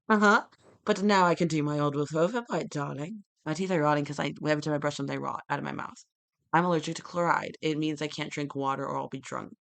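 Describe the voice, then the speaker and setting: Young male vlogger, bright tense tone, jumps between thoughts with abrupt shouts. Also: on the high side, creaky. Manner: disjointed, with sudden loud outbursts. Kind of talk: rambling monologue to camera.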